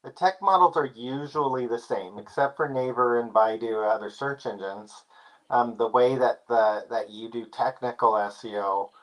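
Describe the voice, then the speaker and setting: A man speaking as a guest expert in a casual interview, explaining things in a relaxed way with many fillers. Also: mid-range voice, normal speed, low-quality recording.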